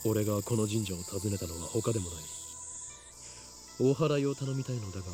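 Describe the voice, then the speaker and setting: An actor playing a pompous, self-important young mad scientist in a Japanese visual novel, brusque and theatrical. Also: male voice, lowish voice, animated, racing, over music, close to an everyday voice.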